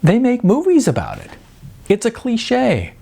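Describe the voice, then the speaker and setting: Man reading as a frank, husky young woman. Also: very high voice, wildly swooping pitch, a little slow, mellow, voice pushed up, exaggerated voice. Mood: teasing. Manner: frank, coaxing. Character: earnest young woman, frank, persistent, smitten.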